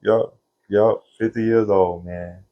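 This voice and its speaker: A man of fifty from Florida, telling stories from his life in a relaxed interview. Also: fairly low pitch, measured pace, muffled recording, a little hiss or hum. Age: 50s. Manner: relaxed, laughing, Southern slang. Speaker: interview guest, singer. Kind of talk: casual storytelling interview.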